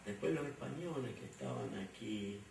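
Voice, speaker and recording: A man's voice: medium pitch, animated, normal speed, gravelly. A man with an Argentine accent, answering interview questions in a rambling way, with many fillers and repeated words. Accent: Argentine Spanish. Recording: echoey room, distant mic, faint hum.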